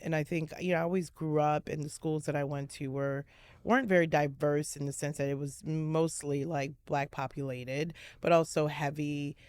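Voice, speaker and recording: A woman in her forties from Miami, an interview guest telling her story in a casual, animated way with many restarts and fillers. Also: low voice, normal speed, no echo. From Miami, USA.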